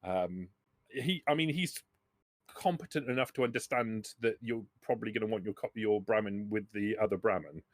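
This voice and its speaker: A man acting as game master, improvising narration and answers for a tabletop roleplaying game in an animated way. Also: lowish voice, moderate pace, no echo, clean studio sound. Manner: animated, talks in character.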